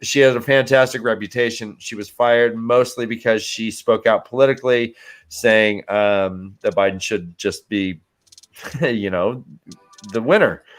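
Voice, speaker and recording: A man's voice: fairly low pitch, measured pace. A man talking casually on a sports panel show, informal and self-deprecating. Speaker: fantasy football show panelist.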